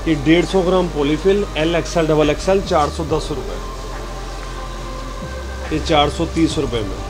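A man's voice: a little high, moderate pace, over music, low-quality recording. A man who owns the shop, listing wholesale jacket prices in a terse, matter-of-fact way. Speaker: shop owner.

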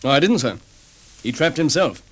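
An actor playing a calm, methodical detective sergeant laying out a case in an old radio drama. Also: male voice, a little high, husky, exaggerated voice. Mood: measured. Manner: measured explaining. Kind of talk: vintage radio drama acting.

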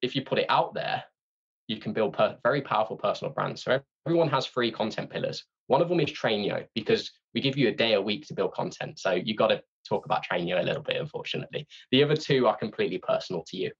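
A man being interviewed on a podcast about his sales training company, talking in an energetic, conversational way and restarting his sentences. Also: lowish voice, fast talker, creaky, slightly rough, highs cut off. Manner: energetic, conversational, repeats words when restarting.

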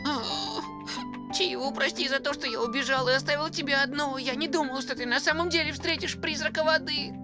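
Timid, high-pitched little animal. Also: steady tone, fairly quick. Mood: apologetic, hurt. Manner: fretful, easily startled, pleading. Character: timid, anxious, bespectacled little cartoon animal, young male. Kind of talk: animated children's cartoon dubbing. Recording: loud music behind the voice. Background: loud cartoon music.

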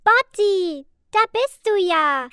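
A caring, cheerful little cartoon girl with a very high voice. She speaks slowly and deliberately, as if guiding young viewers through simple questions. The sound is dry and close. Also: animated, a bit bright, no echo, heavily acted. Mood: eager, calling. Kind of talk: slow, clear children's educational cartoon dialogue.

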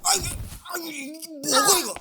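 A man's voice: very high voice, moderate pace, very noisy. A man playing a crude male loan shark thug in an audio drama, taunting. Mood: jeering. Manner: jeering, slurred taunting. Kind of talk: amateur audio drama acting.

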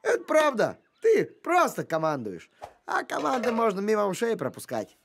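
Cartoon easygoing talking dog, plain-spoken and teasing, pitch leaping about, noisy effects behind. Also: wildly swooping pitch, normal speed, vocal fry. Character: cheeky, easygoing talking dog, a simple farm hand. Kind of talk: animated cartoon voice acting.

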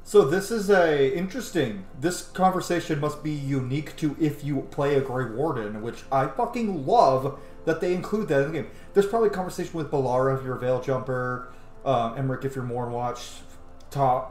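A man's voice: medium pitch, normal speed, a little mellow. A man in his thirties giving casual gameplay commentary, speaking emphatically and swearing freely. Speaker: game streamer. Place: small indoor room at home. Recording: roomy sound with music behind. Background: game music.

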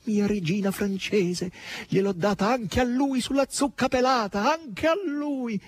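A man's voice: sits high, animated, moderate pace, a little mellow, breathy edge, pitched above the performer's own voice. A man voicing a giddy, brazen young maid turned flying witch, shrieking and mocking as she laughs.

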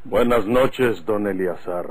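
An actor playing a proud, guarded rural bandit in an old radio drama, blunt and measured, with a northern Mexican accent. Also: male voice, lowish voice. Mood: wary.

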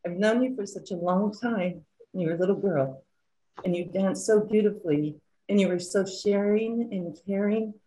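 A woman's voice: mid-range voice, moderate pace, mellow, poor audio. A middle-aged woman talking affectionately and chattily over a video call from a room at home. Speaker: aunt speaking in the audience. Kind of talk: warm personal remarks on a video call. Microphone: webcam mic on a video call.

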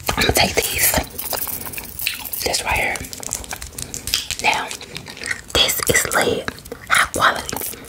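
Lady acting as a sassy salon beautician whispering asmr style, kinda defensive, breathy, repeats herself. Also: natural voice, poor audio. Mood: teasing, instructive. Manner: slow, sassy, colloquial patter with repeated phrases.

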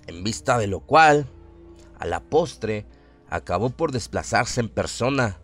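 A man narrating a horror story for a radio drama in a measured, literary storytelling manner. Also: mid-range voice.

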